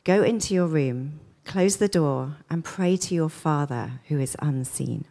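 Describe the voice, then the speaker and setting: Low-voiced woman reading scripture and praying. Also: measured pace, creaky. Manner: gentle, earnest praying. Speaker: church leader introducing the speaker. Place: church.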